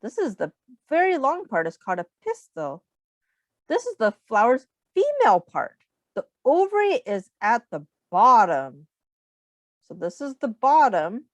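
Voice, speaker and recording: A woman reading a children's book aloud as a teacher, expressively, pausing to explain things simply and ask young listeners questions. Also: on the high side, wildly swooping pitch, no echo.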